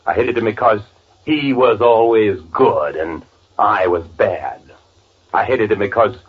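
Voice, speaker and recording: A very deep-voiced, bitter radio killer. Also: male voice. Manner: confessional, brooding, halting. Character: bitter, gloating murderer posing as his dead twin brother. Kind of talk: 1940s radio drama first-person narration. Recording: tinny vintage radio recording with music.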